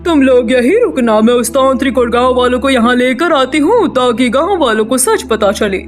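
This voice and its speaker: A woman plays a powerful cartoon witch with a high voice. She is commanding yet protective, declaiming over faint music. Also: animated, moderate pace. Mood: commanding, scolding. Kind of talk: Hindi cartoon story dubbing.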